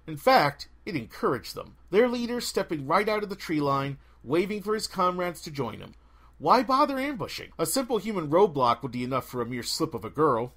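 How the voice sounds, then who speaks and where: A man reads an adventure story aloud as narrator. His voice is slightly high and very animated in pitch, with a theatrical, wry delivery. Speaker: audiobook narrator. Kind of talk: animated scripted fiction narration.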